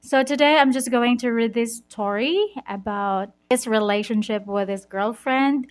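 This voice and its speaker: A woman from the Philippines with a Filipino accent, Bisaya her first language, reading a viewer's story aloud and giving advice, slow and a little halting. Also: on the high side. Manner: slow, careful, reads a bit haltingly. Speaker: vlogger.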